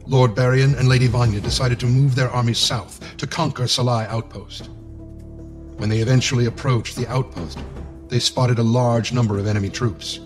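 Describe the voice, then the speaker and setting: A man narrating a scripted fantasy game story in a measured, solemn storytelling manner. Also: mid-range voice, fairly even intonation, over music, close to an everyday voice.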